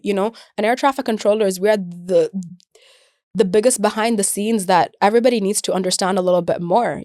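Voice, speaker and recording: A woman's voice: mid-range voice, darkish tone, no room sound. Chatty young woman from the UAE, very dry sound. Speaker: podcast guest, air traffic controller and comedian. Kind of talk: casual conversational storytelling.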